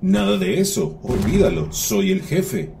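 A man's voice: mid-range voice, wildly swooping pitch, unhurried, gravelly, dark tone, a little hiss or hum, exaggerated voice. A man voicing a proud, quarrelsome old sun spirit in a children's cartoon, boastful and indignant. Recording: music behind the voice.

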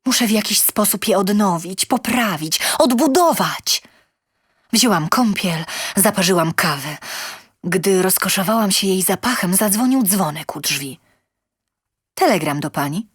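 A woman's voice: medium pitch, animated, unhurried, breathy edge, no echo, studio quality. A woman reading a scripted first-person story in a theatrical, emotive storytelling manner. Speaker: reader of a short story.

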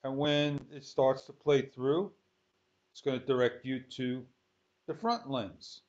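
Male tutorial host, unhurried and halting, thinking aloud step by step; muffled, low-fidelity audio. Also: a little mellow. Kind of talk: unscripted screen-recorded tutorial. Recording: dull lo-fi sound.

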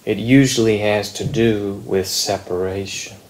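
A man with a fairly low voice reads a contemplative text aloud. He goes very slowly and deliberately, leaving long pauses between short phrases. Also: fairly even intonation, a little mellow. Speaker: reader at a Zen meditation group. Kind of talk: slow contemplative text reading.

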